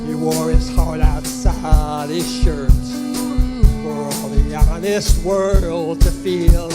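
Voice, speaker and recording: A woman singer performing a slow folk song. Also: on the low side, over loud music. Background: loud instruments with drum.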